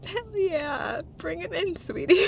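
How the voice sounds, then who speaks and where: A woman performing an intimate comfort roleplay as an affectionate girlfriend, speaking tenderly and emotionally in a halting way that often drops toward a breathy whisper. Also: very high-pitched, animated, a little hiss or hum. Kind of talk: intimate comfort roleplay, acted as one side of a conversation.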